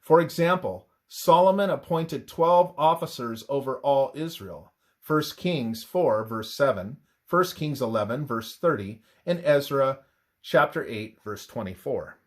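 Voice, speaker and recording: Slow, husky male reader with swinging intonation. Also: medium pitch, expressive. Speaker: reader of a religious presentation. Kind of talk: scripted religious narration, read aloud.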